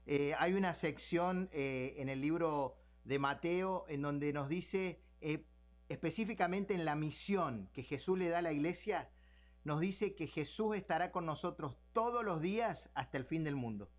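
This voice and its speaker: A man's voice: a little high, measured pace. A man conducting a religious interview, speaking somewhat hesitantly and repeating himself.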